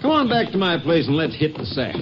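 A man's voice: on the high side, very animated, fairly quick, over music. An American man playing a folksy, plain-spoken county sheriff in a 1950s radio western. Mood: weary. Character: weary, folksy male county sheriff, loyal sidekick. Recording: dull, noisy vintage radio recording.

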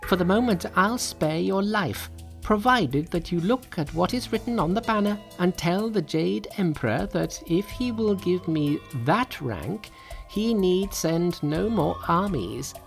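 Storybook monkey trickster, male: high, lively pitch, brash, mocking, boastful. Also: measured pace, creaky, soft background music. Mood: boastful taunting. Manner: taunting, boastful. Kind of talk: storybook character voice.

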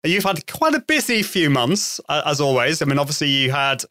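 A man hosting a live-stream podcast, chatty and lively, often restarting phrases and laughing. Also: a little high, normal speed, no echo, clean studio sound. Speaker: podcast host. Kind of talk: casual live-stream interview.

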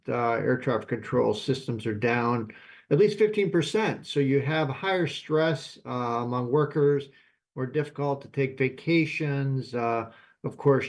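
A man speaking as an expert guest in an interview, informal and halting as he explains. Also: medium pitch. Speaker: expert guest, aviation professor.

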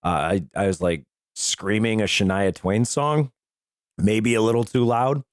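A middle-aged man with a hoarse voice, casually bantering and swearing as a podcast host. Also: on the low side, a little slow, dry recording, studio quality.

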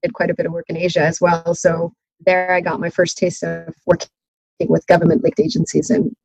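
A woman's voice: fairly low pitch, completely flat delivery, fairly quick, gravelly, a little mellow, breathy edge, dry recording, low-quality recording. A woman who grew up in Malaysia and Canada, speaking as an interview guest, articulate but a little rambling with restarts. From Malaysia, raised in Canada. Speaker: guest, sustainability consultant. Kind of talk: conversational interview.

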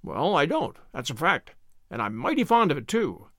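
Storybook role: brisk, outspoken old man, played by a male narrator, brusque yet kindly, very animated. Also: a little high, no room sound, clean studio sound. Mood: pleased. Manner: brisk, blunt, folksy.

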